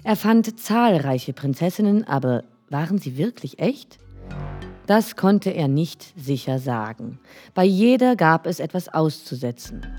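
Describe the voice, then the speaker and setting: Gentle woman reading a bedtime tale. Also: low voice. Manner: gentle, expressive. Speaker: storyteller.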